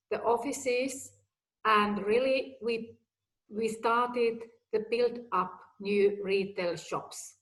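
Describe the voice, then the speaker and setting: A woman in her fifties talks in measured, unhurried English, each phrase placed with care. Her voice sits at an ordinary middle pitch for a woman, and she keeps her sentences simple and direct, as someone who learned the language later. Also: poor audio. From Finland. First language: Finnish or Swedish. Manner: slow, deliberate, simple phrasing. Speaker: guest executive. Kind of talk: personal talk.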